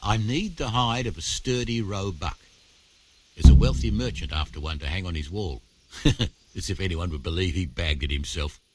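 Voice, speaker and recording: A man's voice: sits low, moderate pace, heavy background noise, close to an everyday voice. A man playing a wry, practical medieval tanner in a video game, talking like a conspiratorial tradesman. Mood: wry, chatty. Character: wry, practical male medieval tanner offering shady hunting jobs. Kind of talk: video game character dialogue.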